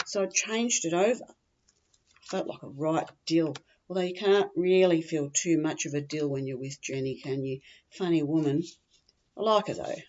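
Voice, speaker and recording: A woman's voice: on the low side, a little mellow, slightly rough, highs cut off. A woman voicing a chatty, tactless, scatterbrained gossip with an Australian accent, rambling bluntly to a friend in a humorous story monologue. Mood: rambling, confiding. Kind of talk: humorous monologue, performed reading.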